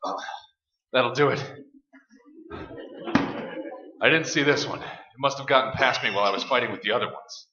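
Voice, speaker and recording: A man's voice: mid-range voice, fast, close to an everyday voice. An actor playing a determined, protective man taking charge in a horror radio play, speaking urgently and practically, with a commanding manner. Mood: grim. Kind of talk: staged radio play acting. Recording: roomy, dull, noisy live stage recording.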